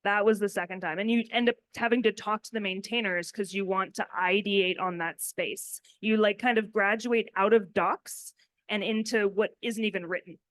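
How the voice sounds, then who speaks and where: A woman's voice: medium pitch, fairly even intonation, moderate pace, no echo. Candid woman, dry close sound. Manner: candid, informal. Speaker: panelist, software developer. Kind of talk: conversational conference panel talk.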